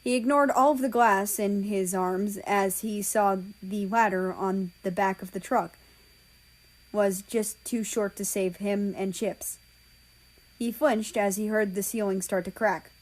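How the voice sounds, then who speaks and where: A woman narrates a story as an amateur reader. Her pitch sits in the middle for a female voice, and she takes the scripted text at a slow pace. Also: fairly even intonation, low-quality recording.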